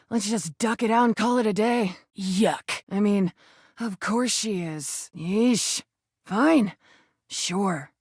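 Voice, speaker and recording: A woman voicing a brash, crude, flirty rubber duck in a video game, with a husky voice and a sardonic, slangy, sometimes defensive delivery. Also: medium pitch, no echo, clean studio sound. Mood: sarcastic, dismissive. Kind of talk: video game character acting.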